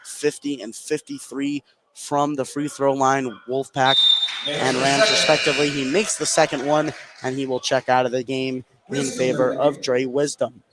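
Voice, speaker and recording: A man's voice: medium pitch, flat delivery, normal speed, fairly bright tone, a little hiss or hum, poor audio. A man doing live play-by-play commentary on a basketball game. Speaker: play-by-play sports announcer. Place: indoor high school basketball game.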